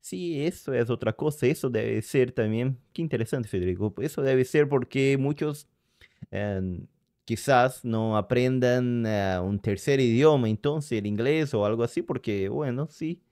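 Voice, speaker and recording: A man's voice: medium pitch, measured pace, a little mellow, no room sound, clean studio sound. A man whose first language is Portuguese, with a Brazilian accent, chatting casually and hesitantly while reacting to a video and reading the chat. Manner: hesitant, searching for words, reads chat aloud. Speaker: reaction streamer.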